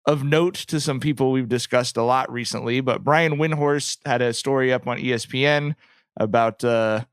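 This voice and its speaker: An American man in his late thirties hosting a casual sports podcast, talking in a halting, digressive way. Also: mid-range voice, clear, resonant tone, dry recording, studio quality. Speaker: podcast host. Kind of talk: casual sports talk with co-hosts.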